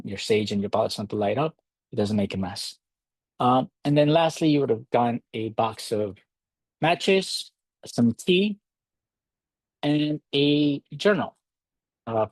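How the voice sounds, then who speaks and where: A man from Ecuador hosting a live virtual workshop, talking in a relaxed, halting way with many fillers. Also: mid-range voice, dry recording. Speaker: workshop host. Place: home in a city. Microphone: computer mic on a video call.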